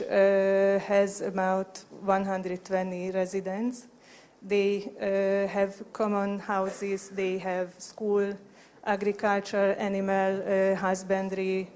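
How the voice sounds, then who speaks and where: A woman's voice: mid-range voice, darkish tone, a little hiss or hum. A woman in her thirties with a Hungarian accent gives a conference presentation in hesitant non-native English into a handheld microphone. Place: conference hall at a lectern. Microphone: handheld microphone held at chest level.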